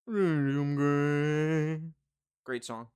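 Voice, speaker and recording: A man's voice: a little high, drawn-out words, a little mellow, clear, resonant tone. Rambling, joking male podcast host. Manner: joking, rambling, many fillers and restarts. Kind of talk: casual chat.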